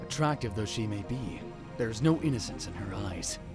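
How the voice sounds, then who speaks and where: A man's voice: fairly low pitch, lively intonation, moderate pace, music in the background, lightly acted. A man playing a paranoid, grandiose young mad scientist in a visual novel fan dub, with a pompous, melodramatic delivery. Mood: smug, brooding. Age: young adult. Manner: pompous, melodramatic, swinging from hushed muttering to outbursts.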